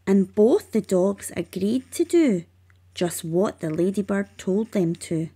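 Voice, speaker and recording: A woman reading rhyming children's narration aloud, expressively and with measured emphasis. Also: mid-range voice, lively intonation, mellow, husky. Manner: measured, emphatic on sound words. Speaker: storybook reader.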